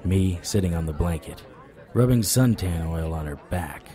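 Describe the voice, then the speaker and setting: An actor playing a stubborn, wisecracking supernatural private investigator in an audio drama, wry, flirtatious and persuasive. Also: male voice, low-pitched, animated, normal speed, warm tone. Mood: brooding, narrating. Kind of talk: audio drama acting with noir internal monologue.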